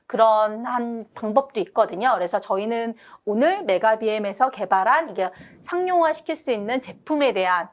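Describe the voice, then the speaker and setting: A woman's voice: a little high, expressive, normal speed, hardly any pauses. A woman hosting a webinar, introducing the topic and politely guiding the discussion with questions. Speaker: webinar host. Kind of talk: presenting and moderating a webinar.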